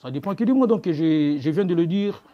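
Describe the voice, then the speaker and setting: A man's voice: on the high side, animated, a little fast, lo-fi sound. A man with a Congolese accent giving defensive, emphatic interview answers, stammering and repeating his phrases as he argues. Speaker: guest, music animator.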